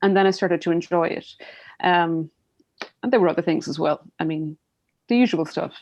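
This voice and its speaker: A woman's voice: on the low side, moderate pace, darkish tone. An Irish woman in her forties, a writer reflecting in an interview, thoughtful and full of restarts. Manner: thoughtful, many fillers and restarts. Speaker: writer, guest. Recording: dull lo-fi audio.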